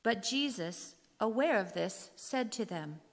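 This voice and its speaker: A woman narrator reading scripture aloud from a script in a measured way. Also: mid-range voice, expressive. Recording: reverberant hall.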